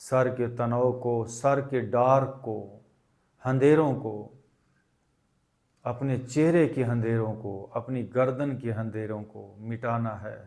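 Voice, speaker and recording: Slow-paced man guiding meditation. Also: medium pitch, steady tone, darkish tone. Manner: deliberate, instructive, with short phrases and pauses. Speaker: yoga and meditation teacher. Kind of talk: guided meditation instruction.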